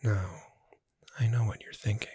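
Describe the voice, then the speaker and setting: A performer voicing a friendly witch bookseller in a soft-spoken ASMR roleplay, gentle, unhurried and lightly wry in a breathy near-whisper. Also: medium pitch, steady tone, dry recording. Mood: gentle, persuasive.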